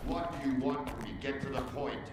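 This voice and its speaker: A man's voice: medium pitch, steady tone, fairly quick, very noisy, close to an everyday voice. A man playing a stern, impatient military colonel in a video game cutscene, curt and commanding.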